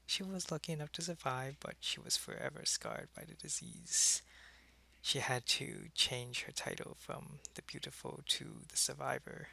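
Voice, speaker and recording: A man playing a wistful, friendly, centuries-old vampire in an intimate, soft-spoken ASMR roleplay, talking casually and often slipping toward a whisper. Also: mid-range voice, moderate pace, creaky, heavily acted, low-quality recording. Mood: wistful, reminiscing.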